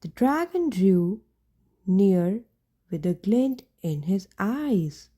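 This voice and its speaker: A woman narrates a children's storybook in a mellow voice. She reads very slowly, in short deliberate phrases, and lets her pitch rise and fall in an animated way. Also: slightly rough. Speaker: storyteller reading a children's picture book. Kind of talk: read-aloud bedtime story narration.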